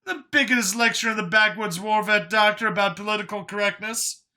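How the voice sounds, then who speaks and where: A man's voice: sits high, fairly quick, pushed and bright, pitched above the performer's own voice. A man voicing a skeptical, sardonic backwoods war veteran doctor in an audiobook story, questioning everything with incredulity.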